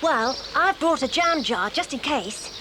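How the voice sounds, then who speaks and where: A woman's voice: high-pitched, lively intonation, a little slow, background noise. A woman playing a plucky, cheerful young English schoolgirl in a children's audio drama, eager and full of exclamations. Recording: outdoor nature sound effects behind.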